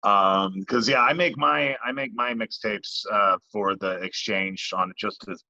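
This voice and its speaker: A man's voice: lowish voice, unhurried, creaky, bright, forceful tone, no echo. A man hosting a casual interview in a conversational style, heard on a somewhat lo-fi recording. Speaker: interviewer, host. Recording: dull lo-fi, dry close sound.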